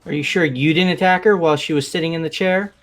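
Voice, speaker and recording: A man's voice: fairly high pitch, fast talker, faint music behind, lightly acted. A man voicing a laid-back young man who talks casually and a bit defensively, in a fan voice-acted game.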